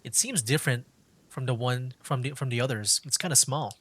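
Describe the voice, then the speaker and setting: Casual male game streamer, medium pitch. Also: brisk, fairly bright tone, breathy edge. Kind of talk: casual gaming commentary.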